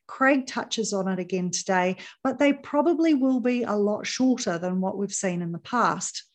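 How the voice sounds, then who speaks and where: A woman's voice: medium pitch, moderate pace. A woman hosting a tourism podcast, talking listeners through industry trends in an upbeat, promotional way. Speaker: podcast host. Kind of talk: podcast host monologue.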